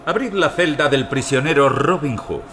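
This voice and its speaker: An actor playing a menacing medieval sheriff in an audio drama, authoritative, smug and taunting. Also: male voice, fairly high pitch, animated, moderate pace, music in the background, natural voice. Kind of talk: Spanish audio drama acting.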